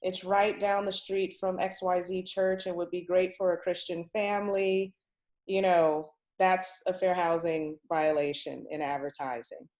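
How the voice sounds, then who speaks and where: A woman with a fairly low voice hosts an informal session. She talks conversationally, thinking aloud as she goes and adding her own comments. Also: fairly even intonation, a little slow, a little mellow. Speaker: host. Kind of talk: informal session hosting, relaying audience questions.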